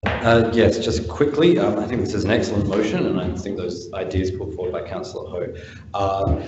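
A man speaking as a city councillor at a council meeting, measured and full of "um" and "uh". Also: on the low side, steady tone, noisy background. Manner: measured, with frequent "um" and "uh". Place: large formal council chamber. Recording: echoey chamber, lo-fi.

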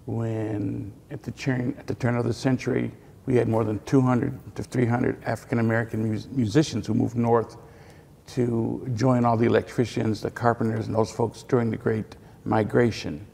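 A man's voice: mid-range voice, little pitch movement, moderate pace, creaky, warm tone, slightly rough. An older man giving emphatic, earnest interview answers about his museum's legacy. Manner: earnest, emphatic, builds to punchy lines. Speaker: museum representative. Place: indoor hall with displays. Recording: hall reverb.

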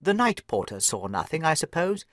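A man voicing a keen, brisk detective who asks crisp, probing questions. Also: a little high, sing-song, a bit bright, no echo, clean studio sound, pitched above the performer's own voice.

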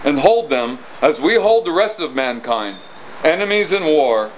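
A middle-aged American man playing a solemn colonial townsman, reading formally in a projected, measured, declamatory way. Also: fairly high pitch, sing-song, a loud crowd. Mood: solemn, proclaiming. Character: solemn colonial townsman proclaiming a public document. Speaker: costumed historical reenactor. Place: outdoors on a stone house porch. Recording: muffled outdoor recording with clear ambient noise.

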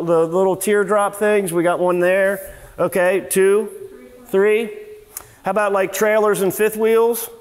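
A middle-aged man, a service manager, giving an informal seminar in a large workshop garage, talking casually with many fillers and restarts, picked up by a camera at a distance. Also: high voice. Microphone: far camera, no mic on him. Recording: echoey garage from far off.

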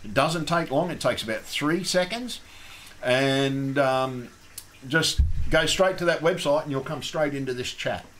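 A man's voice: medium pitch, unhurried, fairly bright tone, a little hiss or hum. A man with an Australian accent hosting a casual call-in show, rambling and chatty with lots of ums and restarts.

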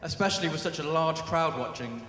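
A man giving a best man toast with a deadpan build-up at a wedding reception. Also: on the high side, fairly quick. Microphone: handheld mic. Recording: echoey hall.

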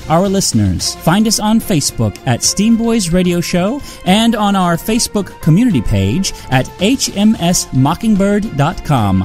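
A male announcer reads scripted credits in a slightly high voice. His intonation is very lively, over faint music.

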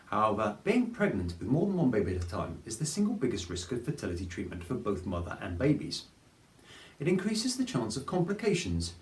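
A man, presenting a scripted explainer to camera in a clear, measured way. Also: on the low side, a little mellow. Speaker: fertility advice presenter. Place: plain studio with grey backdrop. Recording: roomy studio echo.

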